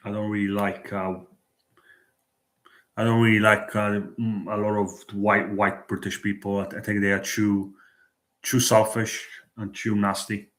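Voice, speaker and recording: A man livestreaming at night, chatting in a hesitant, halting way with many restarts and fillers. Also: lowish voice, level tone, a bit bright, reverberant. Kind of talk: late-night street livestream chat. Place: out at night in a city.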